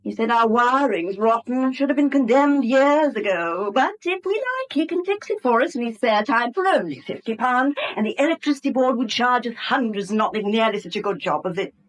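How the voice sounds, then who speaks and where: An actress playing a chatty, affectionate, pushy English wife in a 1970s radio drama. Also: female voice, a little high, animated, fairly quick, hardly any pauses, close to an everyday voice, rough recording.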